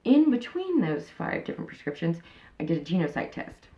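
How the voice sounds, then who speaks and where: A woman's voice: on the low side, very animated, a little fast, lo-fi sound. A woman in her thirties talking frankly in a casual interview, rambling with many fillers and restarts. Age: 30s. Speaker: interviewee, channel host.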